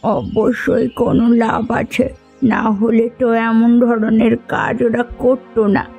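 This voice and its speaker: A woman voicing a weary, gossipy old village granny in a cartoon, drawing her words out slowly. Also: fairly high pitch, fairly even intonation, gravelly, a little mellow, slightly rough, full character voice. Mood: knowing. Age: older. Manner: slow, drawn-out, complaining. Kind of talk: Bengali fairy-tale cartoon dubbing. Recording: music bed under the voice.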